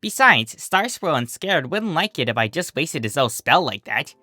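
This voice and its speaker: A carefree female sea pony from a storybook, acted by a narrator. She sounds cheerful and innocent, with a bright tone and bouncy, wide-ranging pitch. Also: very animated, moderate pace, no echo, studio quality, faint music behind. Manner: breezy, cheerful.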